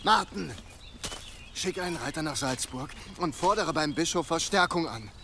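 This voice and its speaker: An actor in a German audio drama playing an outspoken medieval soldier, urgent and emphatic. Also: male voice, a little high, expressive, normal speed, background noise. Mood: alarmed reporting.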